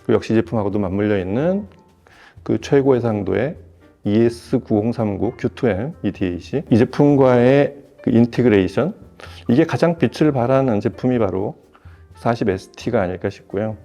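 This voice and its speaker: A man giving a semi-formal, explanatory product review in a measured way, rephrasing as he goes. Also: mid-range voice, lively intonation, vocal fry, mellow, lo-fi sound, faint music behind. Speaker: audio equipment reviewer.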